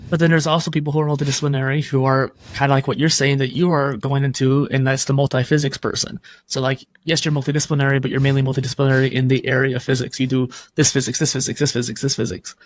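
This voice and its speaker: A man's voice: mid-range voice, level tone, fast, few pauses, no room sound. Chatty male podcast host, dry sound. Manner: rambling, conversational, frequent "like". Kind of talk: casual interview conversation. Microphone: video call microphone.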